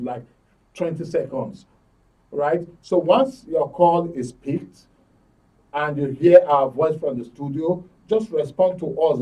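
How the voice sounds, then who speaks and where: A Nigerian man hosts a live radio phone-in in a fairly high voice with a mellow tone. He speaks instructively to his callers. The sound is somewhat distant. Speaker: radio host. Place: radio studio. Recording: dull, distant studio sound.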